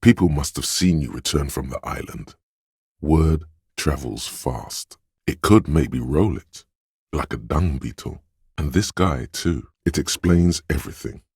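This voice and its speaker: A man voicing a suave, wry inner voice of a detective in a video game, narrating in a measured, knowing way. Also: extremely low pitch, lively intonation, darkish tone, breathy edge, no echo, clean studio sound, exaggerated voice. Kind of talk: second-person game narration.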